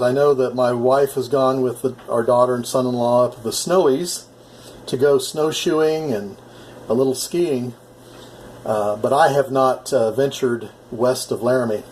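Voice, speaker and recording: A middle-aged American man chatting casually as an amateur radio operator, explaining things in a measured, halting way. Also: medium pitch, darkish tone, a little hiss or hum, rough recording. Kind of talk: casual ham radio conversation.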